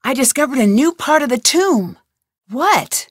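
Young girl detective for a game, inquisitive and earnest, bright higher voice, dry studio read. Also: lively intonation, measured pace, no room sound. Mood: curious. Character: young female amateur detective, curious and determined. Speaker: game protagonist. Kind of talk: studio video game voice lines.

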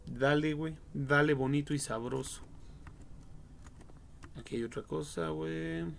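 Gravelly, higher-pitched young Mexican man streaming. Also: unhurried, slight background noise. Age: young adult. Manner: slangy, foul-mouthed, jokey. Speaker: livestream gamer. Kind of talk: casual game livestream commentary.

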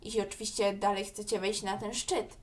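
A woman voicing a calm, secretive old grandmother in a children's audio story. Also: mid-range voice, fairly quick, recorded from a distance, natural voice. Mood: gently urging.

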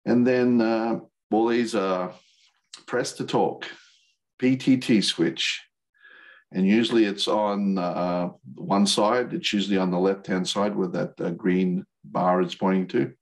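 A man's voice: on the low side, steady tone, slow pace, creaky. An older man giving an explanatory presentation over a video call in a plain-spoken, hesitant way. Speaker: presenter, licensed radio operator. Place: remote video call webinar.

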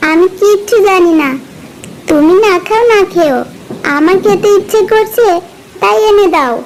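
A woman voicing a stubborn village wife who nags her husband in an animated cartoon. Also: very high voice, unhurried, crowd noise, rough recording. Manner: insistent, scolding. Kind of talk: cartoon dubbing.

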